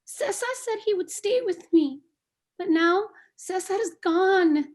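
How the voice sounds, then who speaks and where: A woman playing a frightened, abandoned little girl in a stage play reading, speaking in a halting, plaintive, repetitive way. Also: very high-pitched, darkish tone. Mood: scared, grieving.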